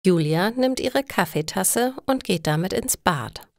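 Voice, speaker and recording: A woman reading a scripted story for language learners in a deliberate, careful storytelling manner. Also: medium pitch, animated, no echo, studio quality. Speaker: narrator of German listening lessons.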